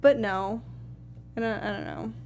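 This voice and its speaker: A woman's voice: medium pitch, lively intonation, unhurried, creaky, a little mellow, slight background noise. A woman co-hosting a panel in casual, conversational chat. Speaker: panel co-host.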